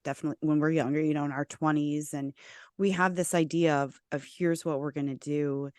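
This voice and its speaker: Low-voiced chatty woman podcast host. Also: steady tone, darkish tone, smooth, no echo, clean studio sound. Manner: chatty, quick asides and restarts. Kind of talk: conversational interview.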